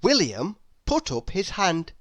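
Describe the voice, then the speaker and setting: A woman's voice: on the low side, wildly swooping pitch, slow, no echo, clean studio sound. A woman reading a children's story aloud as narrator. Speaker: storybook reader.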